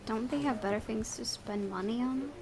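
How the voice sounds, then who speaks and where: A woman's voice: a little high, moderate pace, crowd noise. A woman hosting a gaming video, reading visual novel narration aloud and occasionally stumbling and correcting herself.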